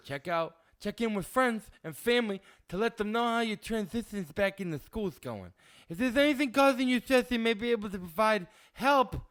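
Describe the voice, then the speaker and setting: A young man with a fairly high voice that swings widely as he banters on a podcast. He reads ad copy and tips with a joking lisp, ready to break into laughter. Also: animated, moderate pace, fairly bright tone. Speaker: podcast co-host. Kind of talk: casual comedic chat.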